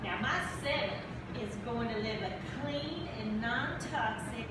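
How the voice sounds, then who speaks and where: A woman's voice: fairly high pitch, animated, a little slow, distant mic. A woman acting an earnest testimonial mother in a parody advert, read live and captured on an echoey, noisy audience phone. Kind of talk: live staged reading of commercial parody.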